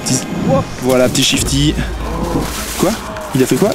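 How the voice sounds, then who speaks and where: A young man commenting on friends' ski competition runs outdoors on a mountain, animated and teasing, recorded on an action camera. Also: medium pitch, normal speed. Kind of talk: excited live commentary. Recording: music playing underneath.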